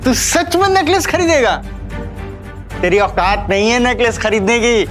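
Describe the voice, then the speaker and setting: A man's voice: extremely high pitch, very animated, a little slow, a bit bright, soft background music, heavily acted. An actor playing a bullying shop salesman who sneers and threatens in an audio drama. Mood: mocking. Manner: mocking, threatening.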